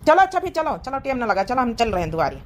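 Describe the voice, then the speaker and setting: Woman playing a high, lively Awadhi village brother. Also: rapid-fire, slight background noise. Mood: hurrying. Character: practical, brisk village elder brother in a family cartoon. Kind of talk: homemade comedy cartoon dialogue.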